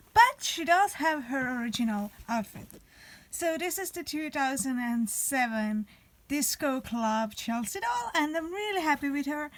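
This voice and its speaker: Woman, young adult, somewhat high voice, casual to-camera chat, rambling. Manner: chatty, rambling with fillers and restarts. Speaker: vlogger. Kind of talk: casual doll show-and-tell.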